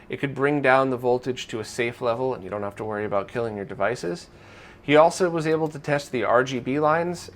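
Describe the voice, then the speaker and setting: A man hosting a weekly news roundup in a casual, conversational and informal way. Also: medium pitch. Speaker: host of a weekly retro gaming news roundup.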